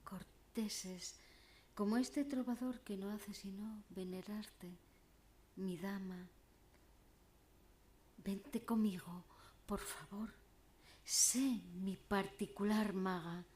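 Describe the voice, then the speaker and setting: A woman's voice: medium pitch, slow pace, long pauses, darkish tone, natural voice. A woman declaiming as a lovesick male troubadour in a poem, ardent, courtly and imploring. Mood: pleading.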